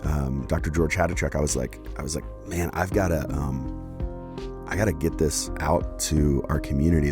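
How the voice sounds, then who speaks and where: A man with an extremely deep, low voice speaks earnestly to his community, filling his talk with fillers and quick asides. A plucked guitar plays clearly behind him throughout. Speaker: pastor and host. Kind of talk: casual video intro and interview hosting.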